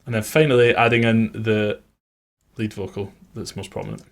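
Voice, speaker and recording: A man's voice: fairly low pitch, level tone, normal speed. A man working as a music producer, giving an informal, conversational tutorial on his production methods.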